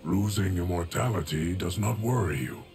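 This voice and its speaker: An actor voicing a solemn, stoic, ageless guardian of time in a video game, formal and measured with a gravelly voice. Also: male voice, low-pitched, a little mellow, soft background music.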